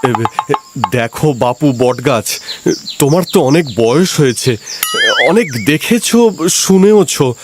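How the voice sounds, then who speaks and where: A naive, good-hearted cartoon priest played by a man, earnest and easily thrown. He speaks slowly in a fairly high voice, halting and stammering, with music clearly behind him. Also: animated. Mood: anxious, earnest. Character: kind, naive village priest, easily flustered. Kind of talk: cartoon storytelling voice acting. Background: prominent music.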